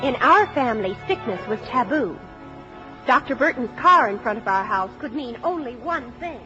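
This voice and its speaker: A woman's voice: a little high, expressive, moderate pace, lightly acted. An actress playing a daughter fondly recalling her big family, narrating a 1950s radio drama. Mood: wryly nostalgic. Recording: muffled old radio, music underneath.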